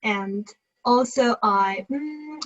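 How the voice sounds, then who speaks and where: A woman from Japan with a Japanese accent, answering interview questions on a video call and often pausing to search for words. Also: fairly high pitch, dull audio. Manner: halting, searching for words with fillers. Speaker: guest, Zen eating workshop host.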